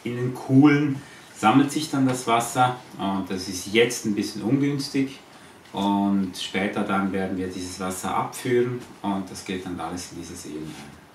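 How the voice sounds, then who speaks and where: A man from Switzerland with a Swiss accent, answering informal interview questions in a halting way, searching for words. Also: lowish voice. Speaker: interviewee, eco-farm owner. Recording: echoey, distant, noisy ambient recording.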